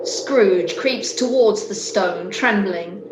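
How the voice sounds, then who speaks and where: A woman narrating an amateur radio play in a deliberate, dramatic storytelling manner. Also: medium pitch, very noisy, lightly acted. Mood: dramatic, measured. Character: story narrator describing scenes. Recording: roomy echo, music underneath.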